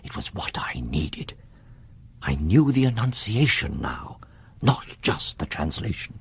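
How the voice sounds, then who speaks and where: An actor playing a grave, resolute man who summons dark powers in a radio horror drama, husky and intense, breaking into whispers. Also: sits low, big pitch swings, slow, dry recording, full character voice. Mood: grim recounting.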